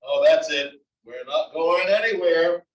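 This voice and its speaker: A man's voice: a little high, unhurried, natural voice. A man playing a grumbling, exasperated old husband with wry complaints in a comedy table read. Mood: complaining. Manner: complaining, exclaiming. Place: library room, table read. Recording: dull, echoey library room, distant mic.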